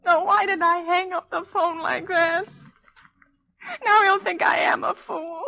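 A frightened, self-pitying housewife with a high, bright voice. She is imperious and stammers with nerves, in vintage radio thriller style on a dull old broadcast recording. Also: steady tone, a little slow, creaky, full character voice. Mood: agitated. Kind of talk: 1940s radio thriller acting.

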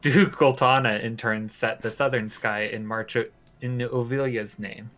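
A woman's voice: extremely low pitch, fairly even intonation, a little slow, fairly bright tone, faint music behind, pitched below the performer's own voice. A woman voicing a solemn, formal storyteller narrating a war chronicle for a video game. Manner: grave, formal.

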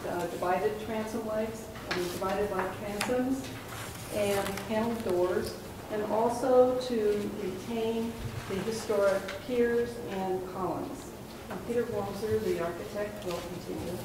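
A woman reads out a building description slowly and with care. She sounds distant, caught by a far microphone in a large meeting room with a heavy echo and clear background noise. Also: mid-range voice. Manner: slow, measured delivery. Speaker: presenter at a hearing. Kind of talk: formal reading of a project description. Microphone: far room mic.

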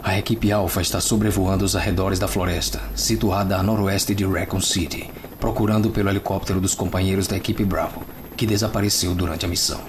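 A man voicing a grim special-forces officer who narrates a horror mystery gravely and deliberately, in a fan dub. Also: sits low, little pitch movement, noisy background, lightly acted. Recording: loud music over the voice.

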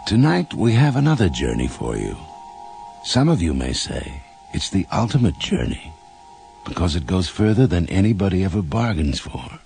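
Sinister horror radio host, very deep gravelly voice, solemn, theatrical, slow. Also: male voice, sing-song, a little mellow, soft background music, exaggerated voice. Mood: solemn, inviting. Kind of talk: scripted horror radio introduction.

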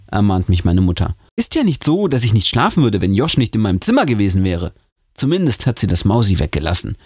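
Husky man telling a spooky story, lively pitch. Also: no room sound, studio quality. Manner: theatrical, suspenseful. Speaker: host and narrator reading a horror story. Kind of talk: dramatic audiobook storytelling.

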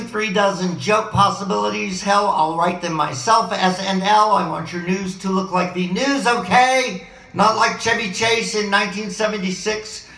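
A hoarse middle-aged man rambles eagerly from one topic to the next, his voice pitched high for a man and full of gravelly fry. His tone is bright and edgy, and the desk mic picks up a roomy echo from his living room. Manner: excitable, rambling, jumps between topics. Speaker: vlogger. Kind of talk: rambling home video monologue. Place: living room at home, indoors. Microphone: desk mic on a boom arm, webcam framing.

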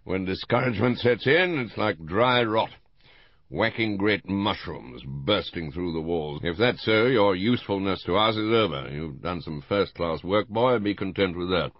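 A man voicing a gruff older British colonel, an intelligence chief who is blunt, teasing and avuncular, in an audiobook. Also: sits low, animated, moderate pace, no room sound, highs cut off, pitched above the performer's own voice. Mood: bluff, avuncular.

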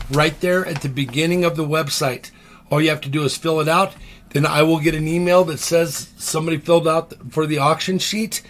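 A middle-aged man with a slightly high voice talks casually on a live stream from his home office. He rambles in a relaxed way, full of ums, as he walks viewers through items for sale. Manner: chatty, relaxed, many ums. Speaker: coin dealer host. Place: home office with coin displays.

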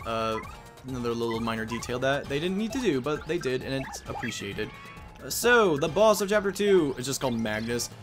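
A man giving casual, rambling, self-deprecating commentary on a video game. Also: medium pitch. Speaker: let's player. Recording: game music behind.

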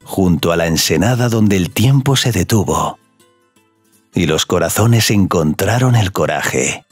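A man's voice: deep voice, animated, measured pace, breathy edge, dry recording. A man narrating a scripted romantic audio story as a storyteller. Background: music.

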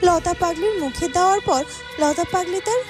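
Very high, expressive woman narrator. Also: a little fast, over music. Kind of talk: scripted fairytale narration for cartoon.

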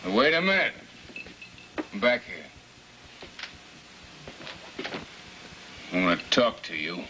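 A man playing a weary, evasive middle-aged American father in a 1950s film drama, speaking haltingly. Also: fairly low pitch, big pitch swings, bright, forceful tone. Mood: probing, halting. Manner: halting, hesitant, with trailing tag questions. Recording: noisy old film soundtrack with clicks.

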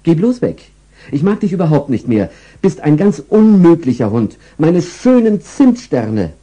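A man's voice: on the high side, wildly swooping pitch, fairly quick, mellow, a little hiss or hum, pitched above the performer's own voice. A man voicing a flustered housewife who scolds her dog in an exasperated way, in a storybook reading. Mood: scolding.

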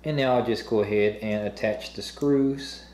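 Young male host, mellow tone, plain step-by-step how-to read. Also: lowish voice, moderate pace, a little hiss or hum. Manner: plain, matter-of-fact explaining. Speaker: host of a review channel. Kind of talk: instructional product assembly voice-over.

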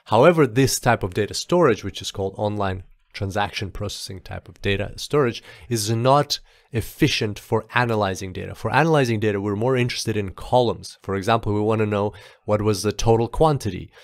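A man's voice: fairly low pitch, fairly quick, dry recording, clean studio sound. A man narrating an explanatory screencast tutorial as an instructor, informal and going step by step.

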